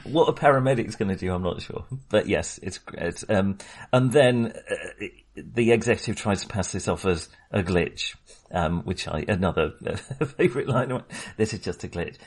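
Husky, rambling man. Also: deep voice, expressive, normal speed. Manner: rambling, hesitant, chuckles often. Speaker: podcast host. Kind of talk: casual film discussion.

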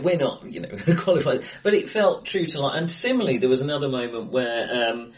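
Podcast co-host: male, animated pitch, casual and searching delivery, recorded in an echoey room. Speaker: podcast co-host reviewing films. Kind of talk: casual film discussion. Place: indoors, face to face in a reverberant room. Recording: echoey, acoustically bright room.

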